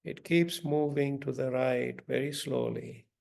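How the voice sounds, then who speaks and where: A man leading a guided meditation in a gentle, deliberate manner, giving instructions with long pauses. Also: mid-range voice, fairly even intonation, creaky.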